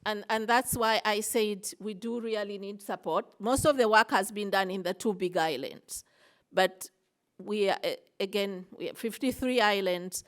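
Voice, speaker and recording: A woman's voice: mid-range voice, normal speed. A woman answers questions at a conference breakout session. She talks in a middle register and hesitates often, with fillers and restarts between her points. She explains things at length.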